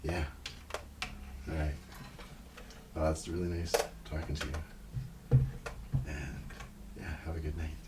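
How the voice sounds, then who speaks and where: A man doing a casual ASMR roleplay, murmuring and rambling in a low-key, unhurried way, sometimes near a whisper. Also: flat delivery, recorded from a distance, very noisy.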